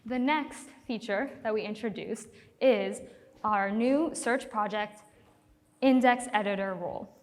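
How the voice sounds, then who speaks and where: A woman's voice: medium pitch, measured pace. A woman giving a conference talk as a product manager, upbeat and a little nervous about her first time on stage. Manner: upbeat, slightly nervous presenter. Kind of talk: conference presentation. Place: large conference hall. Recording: long hall reverb.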